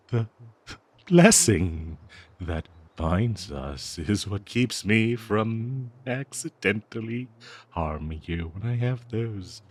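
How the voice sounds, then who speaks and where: A man acts out a cursed talking dagger, male, obsessively in love and thirsty for blood. The voice is low and unhurried, swinging widely in pitch as it dotes on the listener with theatrical menace. It is an intimate audio roleplay monologue, full of halting, savored phrases. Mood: smug, possessive. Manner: slow, theatrical, doting yet menacing, halting with hesitations.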